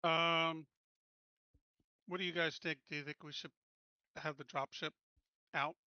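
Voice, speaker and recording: A man's voice: on the high side, little pitch movement, measured pace, clean tone, dry recording, dull audio. A man playing a tabletop game, casually deliberating aloud over the plan with the group.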